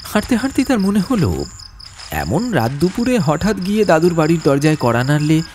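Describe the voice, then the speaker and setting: A man narrating a horror audiobook as a storyteller, with suspenseful, atmospheric delivery and deliberate phrasing. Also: fairly high pitch, big pitch swings, a little mellow, slight background noise.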